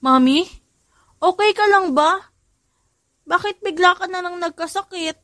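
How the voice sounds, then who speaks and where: A woman's voice: very high-pitched, unhurried, a bit bright, dry recording, voice pushed up. A woman with a Filipino accent voicing sweet, worried young children in an audiobook. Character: young children worried about their mother, sweet and eager.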